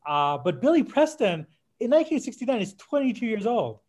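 A man with a noticeably high voice talks casually as a podcast co-host. He is in his fifties and speaks haltingly, starting a point, breaking off for a side note, then picking it back up. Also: very animated, darkish tone. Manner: halting, digressive, with frequent self-interruptions and tangents. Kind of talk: casual podcast discussion of music history.

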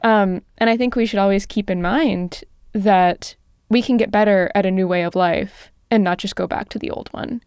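Recorded dry and close, a woman speaks in a smooth, clear voice. She talks reflectively as a podcast co-host. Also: medium pitch, moderate pace, darkish tone, dry recording. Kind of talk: reflective discussion.

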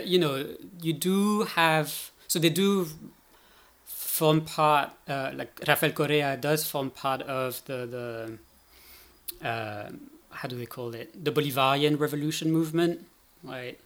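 A man's voice: fairly high pitch, slow, fairly bright tone. Man explaining as an academic guest. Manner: hesitant, thinking aloud, checking with "right?". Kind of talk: conversational explanation in a podcast interview.

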